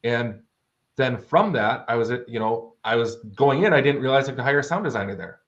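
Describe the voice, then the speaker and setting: A man's voice: medium pitch, lively intonation, brisk. A man chatting casually as an interview guest, telling anecdotes in a conversational way. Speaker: guest author and audio drama producer. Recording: dull, lo-fi audio.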